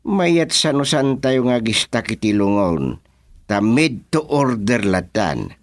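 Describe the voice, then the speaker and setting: A man performs a village chairman hatching business schemes in a radio comedy. He speaks with an Ilocano accent at a slow, persuasive pace, in a middling male pitch. The sound is dry and close. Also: very animated, dry recording. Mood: scheming.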